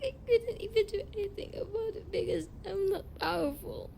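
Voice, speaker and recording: A young woman playing an emotional, halting tsundere delinquent heiress in an amateur audio roleplay. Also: extremely high pitch, creaky, dark tone, a little hiss or hum, heavily acted. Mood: crying, angry. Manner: emotional, halting, swears freely. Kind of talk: amateur audio roleplay, intimate dramatic monologue.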